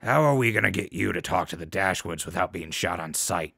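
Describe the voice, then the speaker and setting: Wasteland radio host acted by a man: wry, folksy, low and bright-edged, gritty, for a post-apocalyptic radio play. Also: expressive, a little fast, dry recording, studio quality, exaggerated voice. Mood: wry, firm. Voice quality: low, gravelly. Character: wry male wasteland radio show host, unflappable and sardonic.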